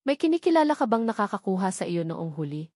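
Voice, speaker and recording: A woman's voice: mid-range voice, sing-song, fast talker, darkish tone, no echo, studio quality. Woman reading a loyal, formal male general. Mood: firm, formal. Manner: formal, respectful.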